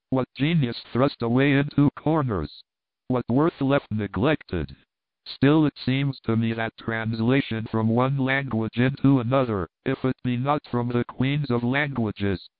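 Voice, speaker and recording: A man's voice: medium pitch, no room sound, lightly acted. A man reading as a grandiloquent old knight. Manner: elaborate, declamatory phrasing. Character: courtly, bookish old male knight, grandiloquent. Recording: tinny phone-like sound, dry.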